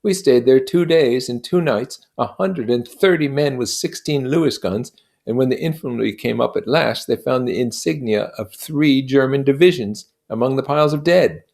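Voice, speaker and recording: A man voicing a boastful young gentleman war veteran in an emphatic, affectedly genteel manner, as dialogue in an audiobook. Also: medium pitch, normal speed, gravelly, pitched above the performer's own voice, close to an everyday voice. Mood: boastful, earnest. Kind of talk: acted dialogue in an audiobook reading.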